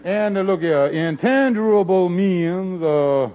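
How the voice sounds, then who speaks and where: An actor playing an excitable, work-shy married schemer who blusters and mangles big words in an old radio sitcom. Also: male voice, high voice, takes it slowly. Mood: blustering. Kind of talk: 1940s radio sitcom acting. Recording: muffled old radio broadcast.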